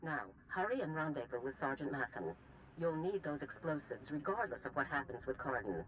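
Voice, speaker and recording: A woman playing a stern, authoritative general who speaks in a measured, commanding way in a game cutscene. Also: low voice, expressive, slight background noise, poor audio. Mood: firm, urging. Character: stern, authoritative female general briefing a soldier. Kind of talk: video game cutscene acting.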